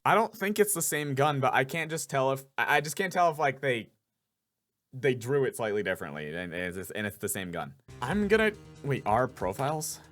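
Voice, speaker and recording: A young man reacts to a game while he plays, thinking aloud and getting excitable. His voice is bright and his pitch jumps around a lot. Quiet game music plays beneath him. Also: moderate pace. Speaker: voice actor playing a game. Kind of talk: let's play reaction commentary.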